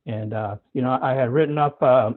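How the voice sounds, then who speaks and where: A man in his late fifties whose first language is Spanish, giving a commencement speech, often repeating words and clearing his throat. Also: mid-range voice, brisk. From United States. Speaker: guest speaker. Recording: husky voice over a tinny phone-like line.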